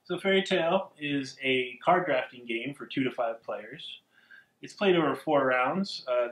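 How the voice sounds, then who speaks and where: Male co-host, average pitch, steady explainer with fillers, roomy echo. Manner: steady explainer, frequent ums. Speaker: podcast co-host. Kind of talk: casual game explanation.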